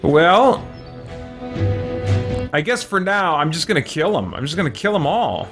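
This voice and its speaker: Male let's player, fairly high voice, lively swings, casual thinking aloud, mild exclamations, faint game music. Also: measured pace. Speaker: gaming channel host and player. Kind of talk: casual roleplay let's play commentary.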